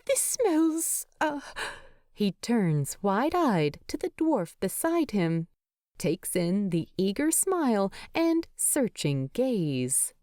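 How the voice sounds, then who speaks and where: A woman narrating a story in an expressive, tender way. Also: mid-range voice, takes it slowly, no room sound, studio quality. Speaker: fan fiction narrator.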